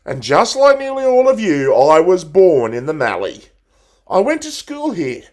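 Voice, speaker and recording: A man's voice: high-pitched, huge pitch swings, measured pace, heavily acted. A man reciting a theatrical monologue as a blunt, folksy 68-year-old Australian country woman making a rousing campaign speech. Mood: proud, plainspoken.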